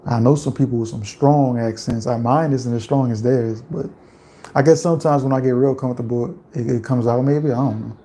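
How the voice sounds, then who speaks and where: Louisiana guy on a livestream, laid back and rambly, lots of "like", warm mellow tone. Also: medium pitch, brisk, slight background noise. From Louisiana, USA. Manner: relaxed, chatty, rambling with many "like" fillers. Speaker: livestream host. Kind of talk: casual livestream chat reading viewer comments.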